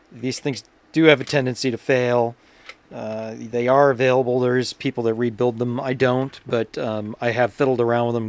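A man talks through a repair in a casual, unhurried manner, thinking out loud. His voice sits at an average pitch. The sound is dry, with very little room in it. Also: no room sound. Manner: informal, unhurried, thinking aloud. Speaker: repairer presenting his own workshop video. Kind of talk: casual hands-on repair commentary.

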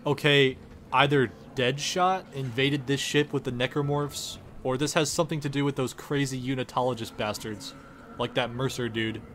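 A man's voice: mid-range voice, steady tone, normal speed, a bit bright, slight background noise. A man doing a sarcastic, profane comedic dub as the lead of a game parody. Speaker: host of abridged game parody, voicing the lead. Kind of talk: comedic character dub over gameplay.

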